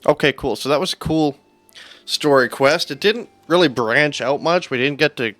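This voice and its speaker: A man's voice: fairly high pitch, moderate pace, a bit bright, no room sound. A man doing casual live gameplay commentary as a streamer, offhand and dry, at times reading game text aloud. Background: game music.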